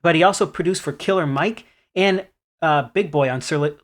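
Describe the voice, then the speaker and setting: A man co-hosting a casual music podcast, talking in a relaxed way that trails off and restarts mid-sentence. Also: a little high, a little fast. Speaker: podcast co-host.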